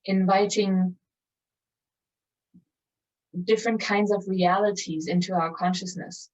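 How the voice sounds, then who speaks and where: A woman leading a guided meditation in a calm, contemplative, measured manner with long pauses. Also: mid-range voice. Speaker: meditation teacher. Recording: distant mic, dull muffled sound.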